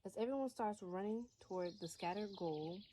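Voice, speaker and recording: A woman's voice: mid-range voice, normal speed, mellow, slight background noise. A woman reading a scripted fairy tale as its storyteller. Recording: faint music bed under voice.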